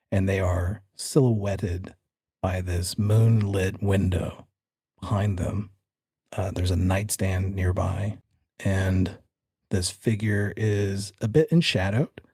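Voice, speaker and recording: Husky, mellow-voiced man as game master. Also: deep voice, dry recording, clean studio sound. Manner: conversational, describes scenes in the second person. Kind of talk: tabletop horror game narration, part suspenseful storytelling, part casual rules talk.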